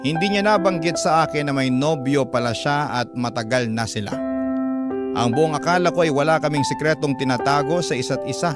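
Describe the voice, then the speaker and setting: A man reading a scripted first-person story as a radio storyteller. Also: medium pitch. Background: loud instrumental music.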